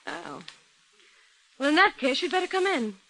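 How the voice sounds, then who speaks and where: A snooty annoyed young rich girl in an old radio play, bright high voice, short curt replies. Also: huge pitch swings, moderate pace, full character voice, rough recording. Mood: cool, curt. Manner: curt, imperious. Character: haughty, irritated young society woman. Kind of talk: old-time radio drama acting.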